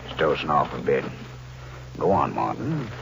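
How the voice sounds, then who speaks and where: An actor playing a sly, needling, falsely friendly crook who schemes murder, in 1940s radio style. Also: male voice, very deep voice, huge pitch swings, normal speed, gravelly, a bit bright, exaggerated voice. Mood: hushed, urgent. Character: sly, fat scheming crook plotting his uncle's murder. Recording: dull, hissy old radio recording.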